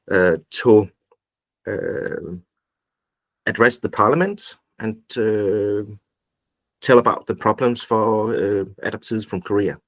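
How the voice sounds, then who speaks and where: A man with a Danish accent giving an informal presentation on a video call in a halting, pause-filled way. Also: lowish voice, no echo. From Denmark. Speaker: invited speaker, activist group founder. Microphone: video call microphone.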